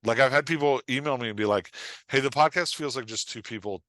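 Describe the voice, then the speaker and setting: A man's voice: medium pitch, fast talker, a bit bright, no echo. A man talking casually as a podcast guest and writing coach, rambling as he explains, peppering it with "like" and imagined quotes. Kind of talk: casual conversation, explaining and joking.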